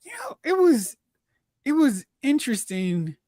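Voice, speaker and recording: A host chatting casually about pop culture, retelling reactions in slangy, animated asides. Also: high-pitched, unhurried, no echo, studio quality. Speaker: host of a film and TV discussion show.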